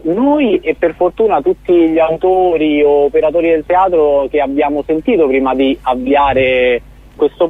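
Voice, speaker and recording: A man's voice: fairly high pitch, no echo. A man, a startup founder, explaining his project earnestly as a guest in a radio interview. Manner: explanatory, earnest, with frequent restarts. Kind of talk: radio interview over the phone. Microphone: phone. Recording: tinny dry phone line.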